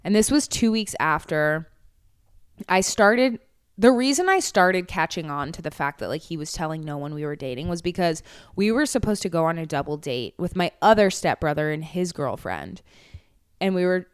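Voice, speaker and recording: A young woman co-hosts a chatty podcast, spilling frank dating stories with plenty of swearing. Her voice sits low and comes through smooth and clean. The sound is close and dry. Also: expressive, no echo. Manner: candid storyteller, swears freely, quick laughs. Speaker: podcast co-host. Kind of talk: casual chat.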